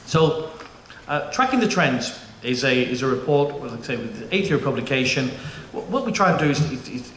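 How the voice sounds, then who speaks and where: A middle-aged man a bit of in his vowels chats easily to a conference crowd, joking and restarting his sentences as he goes. His voice sits at an ordinary pitch for a man. It rings through an echoey auditorium over a faint hum of room noise. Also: very animated, normal speed, a bit bright. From United Kingdom. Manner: chatty, jokey, frequent restarts and fillers. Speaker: panel moderator. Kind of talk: conference presentation.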